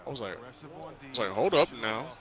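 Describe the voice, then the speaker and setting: A man casually recapping a movie in a halting, rambling way, repeating himself as he goes. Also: medium pitch, lively intonation, a bit bright. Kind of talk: casual movie recap chat while playing a video game. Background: crowd noise and basketball bouncing from a game.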